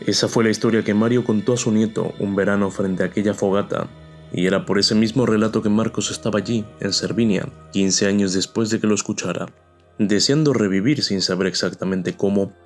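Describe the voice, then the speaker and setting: Male storyteller, lowish voice, measured reflective scripted narration, faint music underneath. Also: steady tone.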